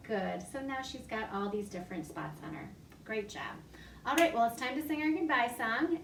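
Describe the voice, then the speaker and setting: A woman librarian reading a picture story aloud to young children from her home, animated and full of gasps. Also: fairly high pitch, far from the mic, a little hiss or hum. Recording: echoey room, voice off-mic.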